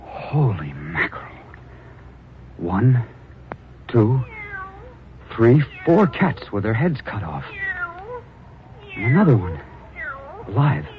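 A man's voice: medium pitch, very animated, slow pace, pauses often, slight background noise. An actor playing a haunted police reporter who narrates his own grim tale in 1940s radio drama style. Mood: uneasy. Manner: dramatic first-person storytelling. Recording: old radio recording, muffled, music behind.

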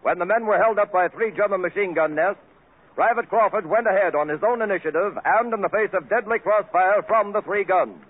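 A man's voice: sits high, brisk. A man announcing a 1940s radio show, reading sponsor copy and tributes in a formal announcer's delivery. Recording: tinny 1940s radio broadcast.

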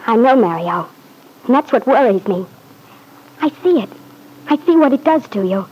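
Anxious, devoted young radio wife, high-voiced. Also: female voice, lively intonation, normal speed, lo-fi sound. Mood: tender, troubled. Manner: earnest, pleading. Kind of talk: old-time radio drama acting. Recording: husky-sounding vintage radio recording.

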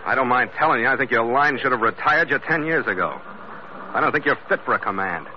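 Blunt, no-nonsense ship's captain played by a man, quick delivery, hard-boiled 1940s radio drama, muffled recording. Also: mid-range voice, lively intonation, slight background noise, natural voice. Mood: blunt, urgent. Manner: clipped, impatient, no-nonsense. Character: brisk, blunt, tough ship's captain and narrator.

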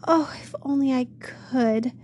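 A woman's voice: high voice, unhurried, mellow, a little hiss or hum, voice pushed up. A woman voicing a timid young crippled woman who is fearful and pleading, in a storybook reading. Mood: longing. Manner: anxious, tearful pleading.

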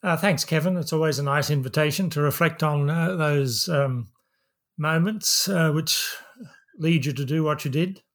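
An older man with an Australian accent, an academic guest giving reflective interview answers in measured, formal wording with frequent pauses. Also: fairly high pitch, fairly bright tone. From New South Wales, Australia. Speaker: academic guest, systems professor.

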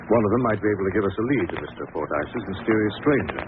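An actor playing a shrewd, self-assured amateur detective who questions with polish and authority, in 1940s radio mystery style. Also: male voice, lowish voice, animated, fast talker, natural voice. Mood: probing. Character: shrewd, self-assured gentleman amateur sleuth, probing and commanding. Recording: scratchy tinny old radio broadcast, noisy.

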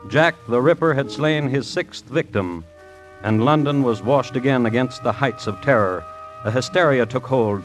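A man's voice: medium pitch, natural voice, rough recording. A man playing the grave, melodramatic narrator of an old-time radio murder thriller. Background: music.

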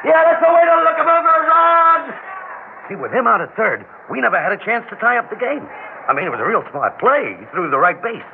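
A lo-fi vintage radio drama with clear hiss carries a fond, boyish American father. His voice is high and swoops freely as he chats in a folksy, working-class baseball fan's way. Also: big pitch swings, quick pace, exaggerated voice. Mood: eager, fond. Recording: noisy lo-fi old radio recording.

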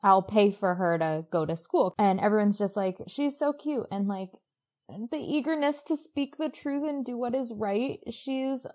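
Animated woman chatting casually. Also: on the high side, measured pace. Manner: animated, exclamatory, heavy use of "like". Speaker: podcast co-host. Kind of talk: casual book recap chat.

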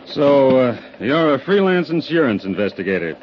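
An actor playing a gruff, skeptical police interrogator in a 1950s radio drama. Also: male voice, medium pitch, very animated, moderate pace, lightly acted. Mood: pressing, skeptical. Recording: muffled old radio recording, faint hiss.

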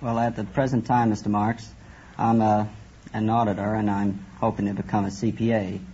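A man's voice: lowish voice, little pitch movement, moderate pace, dark tone, husky. A young American man, a polite, earnest contestant answering a quiz host's questions. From California, United States. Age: young adult. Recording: dull noisy old radio recording.

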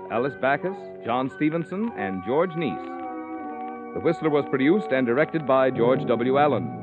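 Animated vintage male radio announcer. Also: mid-range voice. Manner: polished, emphatic salesmanship. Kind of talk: 1940s radio commercial and credits announcing. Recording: tinny old radio recording.

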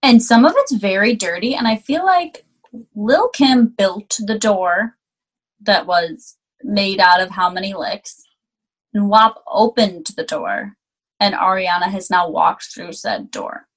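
A woman co-hosting a casual music chat, relaxed and often answering in short replies. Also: mid-range voice, takes it slowly, rough recording. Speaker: co-host reviewing music.

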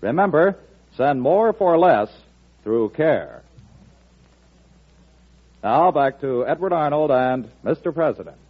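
A man's voice: a little high, sing-song, takes it slowly, long pauses, husky. A male announcer reading a scripted public appeal in formal 1940s radio style. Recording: tinny, narrow old radio recording.

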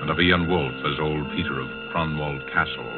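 Very deep, ominous vintage radio host. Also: male voice, normal speed, phone-quality sound. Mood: ominous, formal. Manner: formal, portentous. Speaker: announcer, host. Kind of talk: 1940s radio scripted introduction. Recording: band-limited 1940s radio, loud music.

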